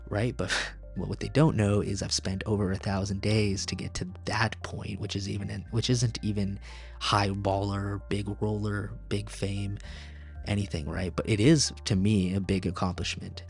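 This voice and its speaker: Flat-toned man, casual podcast host. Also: lowish voice. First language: Tamil. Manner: casual, rambling, many fillers and restarts. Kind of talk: solo podcast monologue.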